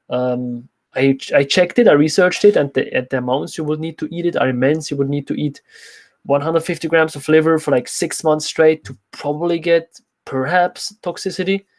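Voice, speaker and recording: A man's voice: on the high side, a little mellow. A young man who is not a native English speaker, casually answering viewer questions as host of a livestream Q&A in an informal way. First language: not English, speaks French as a second language. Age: young adult. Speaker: nutrition coach, livestream host.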